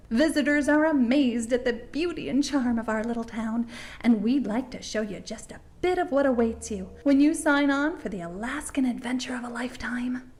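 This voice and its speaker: A woman's voice: fairly high pitch, darkish tone. A woman playing an upbeat small-town tourism host, earnest, polite and gushing as she narrates and interviews. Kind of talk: scripted promotional narration and interview.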